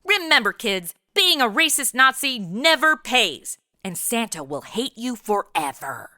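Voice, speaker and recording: High-voiced, wisecracking young superheroine. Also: female voice, measured pace, no room sound, studio quality, exaggerated voice. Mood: mock-preachy. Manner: flippant, animated. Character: breezy, wisecracking young woman standing in as superheroine. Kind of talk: comic audio drama. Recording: music behind the voice.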